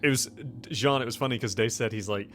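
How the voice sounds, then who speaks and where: A man's voice: mid-range voice, quick pace, fairly bright tone, faint music behind. A man hosting and running a tabletop game podcast, chatting casually with friends in a teasing, profane way. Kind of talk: casual banter among friends.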